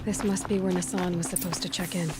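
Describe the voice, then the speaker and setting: A woman's voice: lowish voice, flat delivery, fast, loud music behind the voice, close to an everyday voice. An actress playing a sceptical, determined young female tracker in a video game. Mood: focused.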